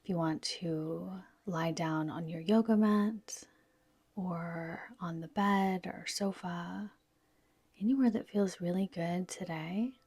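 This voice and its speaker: A woman with a soft, whispery voice gently guiding a yoga nidra relaxation in a soothing, unhurried manner. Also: lowish voice, breathy edge, no room sound. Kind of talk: guided relaxation meditation.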